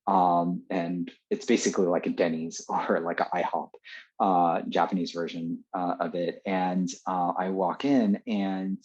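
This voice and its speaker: A man with an American accent, a podcast guest telling stories in a loose, chatty way, full of fillers and quick laughs. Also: sits low, level tone, darkish tone, husky. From Los Angeles, USA. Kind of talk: casual storytelling. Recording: dull lo-fi sound.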